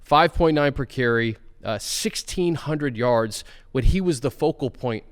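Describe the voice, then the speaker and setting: Smooth-voiced male host in a reverberant room. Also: mid-range voice, measured pace. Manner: conversational, asks questions, fillers like uh and um. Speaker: show host. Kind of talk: sports podcast chat.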